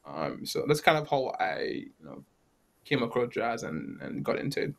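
A man's voice: mid-range voice, very animated, moderate pace, gravelly. A man, telling his story casually on a video call from a room at home. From Trinidad and Tobago. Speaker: guest jazz saxophonist. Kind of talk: casual storytelling in a panel interview. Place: small room at home, indoors. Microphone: laptop or webcam video call.

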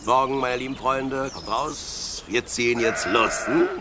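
A man playing a sleepy, cheerful young shepherd boy in an audio play, with a playful and expressive manner. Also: medium pitch, a little slow, noisy background, natural voice. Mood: contented. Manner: playful, expressive, talks to himself and his sheep.

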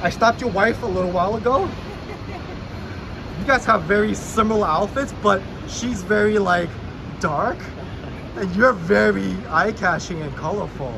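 A woman interviewing people about their outfits on the street, upbeat, complimenting and asking brisk questions. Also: medium pitch, gravelly. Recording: noisy outdoor street.

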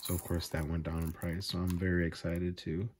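A man with a very low voice talks casually over a tabletop unboxing. He sounds keen and chatty, filling pauses with small ums and uhs. A faint hiss sits under the recording. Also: completely flat delivery, a little mellow. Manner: enthusiastic, frequent fillers. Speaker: video host. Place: indoors at a table, off camera.

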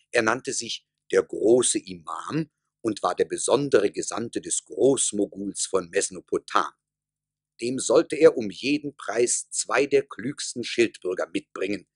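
A man's voice: lowish voice, fairly bright tone, husky, no room sound, clean studio sound, heavily acted. A man telling a story as the scripted narrator of a radio play.

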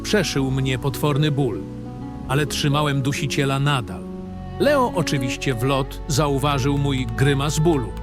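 A man's voice: mid-range voice, measured pace. A man narrating a scripted fantasy audio drama in the first person, with a dramatic storytelling delivery. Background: background music.

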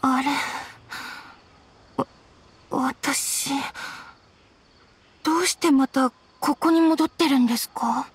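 A woman's voice: sits high, takes it slowly, long pauses. A woman playing a polite young scholarly woman in an anime game, hesitant and subdued. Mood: dazed, wistful. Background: faint game music.

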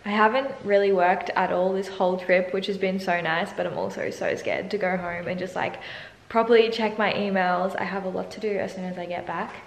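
A woman's voice: medium pitch, fairly quick, creaky, roomy sound. A young woman, chatting casually and giggly to a handheld camera in a holiday rental. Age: 20s. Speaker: travel vlogger. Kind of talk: casual vlog chat to camera. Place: holiday rental house, indoors. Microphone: handheld camera at arm's length.

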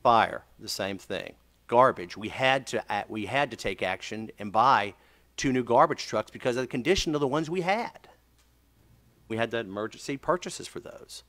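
A man's voice: medium pitch, expressive, moderate pace, a bit bright. A man speaking as a city official, giving formal remarks at a public meeting into a desk microphone. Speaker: city manager. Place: council chamber.

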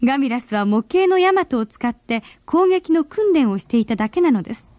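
A woman narrating a radio drama in a deliberate, expressive storytelling manner, as in a 1970s broadcast. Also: high-pitched, dry recording.

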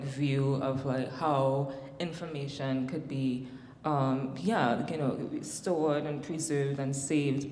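Low-voiced Trinidadian woman in an echoey hall. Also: a little mellow, slight background noise. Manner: thoughtful, many fillers and restarts. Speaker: panelist, academic. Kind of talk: panel discussion. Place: large hall on stage. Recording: echoey hall with long reverb.

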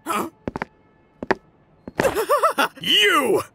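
A man's voice: very high voice, wildly swooping pitch, very slow, vocal fry, sharp tone, a little hiss or hum, exaggerated voice. A man voicing a big, middle-aged hero in a comic dub, exasperated and theatrically emphatic. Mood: furious, shouting. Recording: music behind.

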